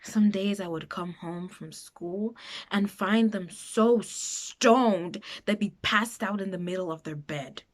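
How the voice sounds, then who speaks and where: Woman acting a wounded, slow-speaking foster girl. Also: medium pitch, close to an everyday voice. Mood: hushed, bitter. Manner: halting, emotional, breaking into whispers and raised outbursts. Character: frightened foster girl, wounded, bitter about her abusive parents. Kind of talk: dramatic monologue, confessional.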